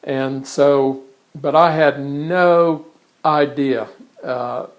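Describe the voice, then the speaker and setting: A man, introducing himself in a casual, halting monologue from his art studio. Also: a little high, steady tone. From born in England, raised in Kansas. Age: 60s. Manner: conversational, halting with frequent restarts and fillers. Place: his art studio in front of a blank canvas.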